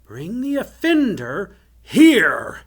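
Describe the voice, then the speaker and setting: A man's voice: on the high side, wildly swooping pitch, slow, a bit bright, pitched above the performer's own voice, exaggerated voice. A man voicing a sinister, menacing fire wizard tyrant in a theatrical storybook villain style, drawing out words. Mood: enraged.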